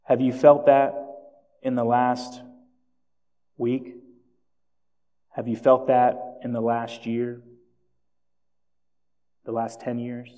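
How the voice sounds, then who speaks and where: A man's voice: mid-range voice, level tone, slow pace, pauses often, mellow. A man born in Jamaica and raised in South Florida, preaching a sermon and telling stories with self-deprecating asides. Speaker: pastor. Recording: long hall reverb.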